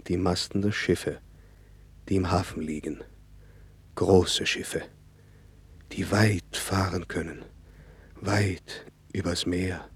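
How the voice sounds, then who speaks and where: An actor playing a troubled prophet fleeing his God by sea in a 1960s German radio play, low and husky, in slow halting phrases. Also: darkish tone, no echo, exaggerated voice. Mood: wistful, brooding.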